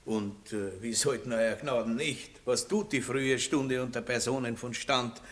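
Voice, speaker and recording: An actor playing a boorish, lecherous country baron who is full of himself, hemming with fillers in a 1960s radio play. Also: male voice, medium pitch, steady tone, unhurried, a bit bright, natural voice. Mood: pompous. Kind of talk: 1960s radio drama acting.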